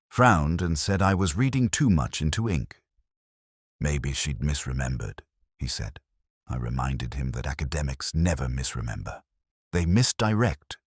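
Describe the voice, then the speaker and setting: A man narrating a first-person detective story in a measured, literary, brooding manner, with a husky voice. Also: rumbling low, no room sound, clean studio sound.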